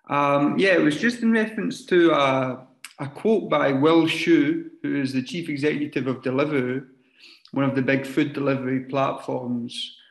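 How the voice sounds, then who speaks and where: A man puts a question to the panel of an online debate, laying it out carefully and restating it. His voice is gravelly with vocal fry. The room around him sounds echoey. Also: medium pitch. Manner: measured, explaining carefully. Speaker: audience member asking a question.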